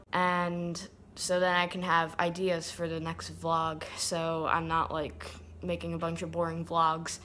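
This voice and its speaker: Chatty teen girl with a slightly hoarse voice. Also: on the low side, fairly bright tone. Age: teens. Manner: chatty, rambling, lots of "like" fillers. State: slightly hoarse, losing her voice. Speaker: vlogger. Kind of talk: casual vlog chat. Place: home living room, then kitchen, indoors. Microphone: phone camera at arm's length.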